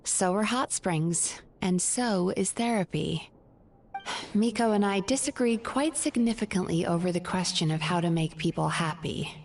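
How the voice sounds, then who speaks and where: A woman voicing a calm, polite adult woman who runs a hot spring. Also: on the low side, moderate pace. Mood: composed.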